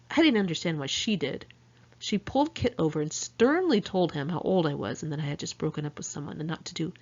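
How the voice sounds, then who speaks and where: A woman with a fairly low voice reads from her own memoir in a dull, lo-fi home recording. Her delivery is candid and conversational, as if confiding personal diary entries to the listener. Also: darkish tone. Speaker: author reading own memoir. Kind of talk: first-person memoir audiobook reading.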